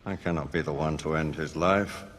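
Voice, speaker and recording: Deep, grave old chancellor in echoing hall. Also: male voice, fairly even intonation, moderate pace, fairly bright tone. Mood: grave, commanding. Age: older. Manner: solemn, formal, weighty delivery. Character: grave, tormented aging Lord Chancellor and father. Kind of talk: cinematic video game drama acting. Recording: long hall reverb, clear background noise.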